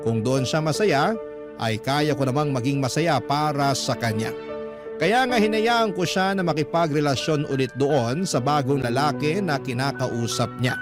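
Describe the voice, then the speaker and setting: Male radio storyteller, slightly high voice, dramatic first-person letter reading over prominent background music.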